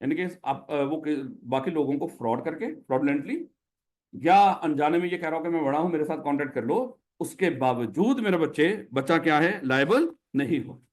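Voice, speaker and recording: A man's voice: fairly high pitch, expressive, moderate pace. A man with a Pakistani Urdu accent teaching a classroom lecture, who explains by putting questions to his students.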